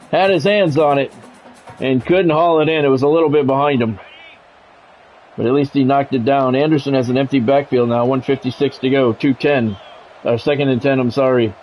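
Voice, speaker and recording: Higher-pitched man calling football play-by-play. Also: low-quality recording. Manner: announcer-like, short clipped phrases, excited calls on big plays. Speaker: video game streamer doing play-by-play. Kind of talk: live sports play-by-play commentary of a football video game.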